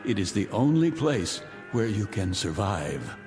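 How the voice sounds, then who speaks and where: A man's voice: on the low side, very animated, measured pace, a little mellow. A middle-aged actor playing a vindictive rich man taunting his guests in 1960s television drama style, mocking and grandiloquent. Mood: smooth, imperious. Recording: vintage TV soundtrack, reverberant, music behind.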